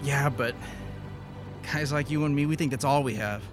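An actor playing a weary but defiant leader of a science team in an audio drama, with a casual, wry delivery. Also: male voice, medium pitch, moderate pace, over music, natural voice. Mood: reflective, sincere.

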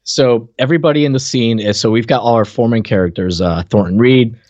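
A man talks casually as a podcast co-host, retelling gags in a loose, conversational style and circling back over his phrases. His pitch is average. The sound is dry, with a slightly muffled, lo-fi edge. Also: fast talker, darkish tone, no echo.

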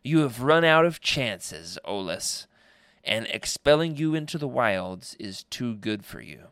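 A man's voice: medium pitch, expressive, measured pace, a bit bright, clear, resonant tone, dry recording, clean studio sound. A man voicing a haughty, furious evil queen in an audiobook-style story, speaking in an imperious, disdainful way. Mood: cold, menacing.

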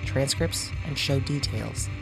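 A woman's voice: very deep voice, takes it slowly, gravelly, over music, rough recording. A woman reading scripted podcast narration, such as credits and promos.

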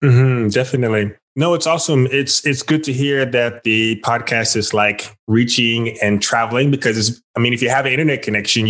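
A man's voice: mid-range voice, fairly bright tone. A man from Texas hosting a podcast, chatting casually and loosely with plenty of "like" and "you know". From Texas, United States. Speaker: podcast host. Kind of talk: casual conversational interview.

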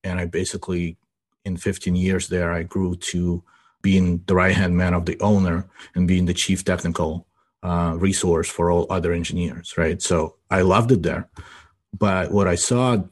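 A man's voice: deep voice, little pitch movement, moderate pace. A man in his forties from Ukraine, a guest in a casual podcast interview, rambling and tagging his sentences with "you know" and "right?". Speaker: guest, IT business owner.

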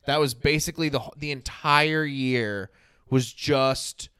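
Deep male-sounding voice, smooth, dry close sound, informal podcast host. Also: no room sound. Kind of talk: casual podcast chat.

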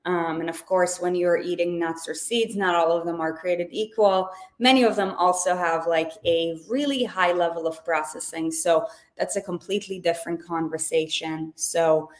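A woman's voice: fairly low pitch, fairly even intonation, moderate pace, few pauses. A woman from Israel coaching on nutrition in a casual livestream, chatty, with lots of fillers and restarts.

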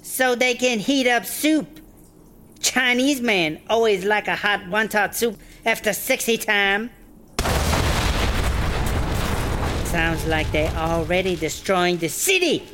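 A woman's voice: mid-range voice, animated, unhurried, background noise, natural voice. A woman playing a scheming, scornful startup boss who berates people in caricatured broken English in a comedy audio drama. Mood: ominous.

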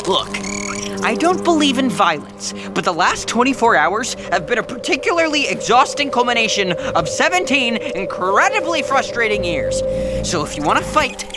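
A man's voice: extremely high pitch, big pitch swings, normal speed, over music. A young man acting as an eager, naive seventeen-year-old prince in an audio drama, exclaiming and talking to himself with melodramatic exasperation. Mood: exasperated defiance.

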